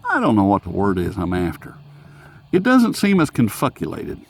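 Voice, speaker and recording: A man's voice: lowish voice, wildly swooping pitch, normal speed, creaky. A man chatting casually through a hands-on gadget review in a rambling, folksy, self-mocking way, as a hobbyist reviewer.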